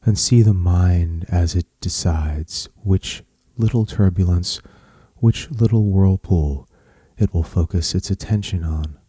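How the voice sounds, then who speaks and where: A man leading a guided meditation in a measured, soothing manner, with pauses between short phrases. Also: deep voice, warm tone, slightly rough, no echo.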